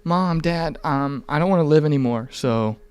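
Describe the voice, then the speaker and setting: A man's voice: a little high, moderate pace, darkish tone, clean tone. A man in his mid twenties chatting candidly on a podcast in an informal, rambling, earnest way, with some swearing. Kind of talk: casual, candid podcast conversation.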